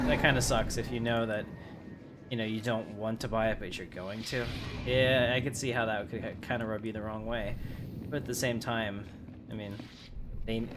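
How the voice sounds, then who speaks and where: A man livestreaming a game, chatting casually and offhandedly while he plays. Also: medium pitch.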